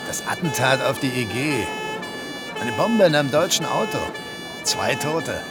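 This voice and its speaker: A blunt fellow at a police raid in a radio drama. He talks in clipped, matter-of-fact phrases at a middle pitch, with loud music behind him. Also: expressive, measured pace.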